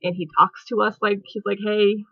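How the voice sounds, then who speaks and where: Young woman, casual vlogger, giggly and rambling, mid-pitched, close dry lo-fi mic. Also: level tone, normal speed, husky, no room sound. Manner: chatty, giggly, lots of "like" and "um". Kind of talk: casual movie review chat. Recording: dull lo-fi home recording.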